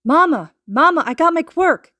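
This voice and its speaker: A woman voicing a beaming, excitable little boy who is eager and a bit whiny, in a story reading. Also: very high-pitched, normal speed, no room sound, studio quality, voice pushed up, full character voice. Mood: bright excitement.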